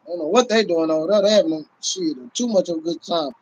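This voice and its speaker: Animated man chatting on a phone livestream. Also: high voice, quick pace, highs cut off. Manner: animated, swearing, laughing. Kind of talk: casual chat. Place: small room at home, indoors. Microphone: phone held at arm's length. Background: fireworks outside.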